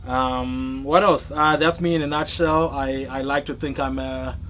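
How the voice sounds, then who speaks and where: A man in his thirties from Zimbabwe, an author answering interview questions in a halting, thoughtful way with many restarts. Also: medium pitch, fairly even intonation, fairly bright tone, a little hiss or hum. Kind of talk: conversational interview.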